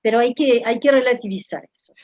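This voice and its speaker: French-accented woman in her fifties, interview guest, fairly high voice, halting and reflective, dry muffled sound. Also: darkish tone, no room sound. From France. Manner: thoughtful, halting, repeats words while searching.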